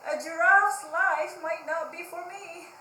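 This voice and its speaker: A woman's voice: very high-pitched, fairly even intonation, measured pace, sharp tone, echoey room, slight background noise, voice pushed up, heavily acted, rough recording. A woman voicing a restless, boastful pig from a picture book, exclaiming and drawing out words. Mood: dismayed.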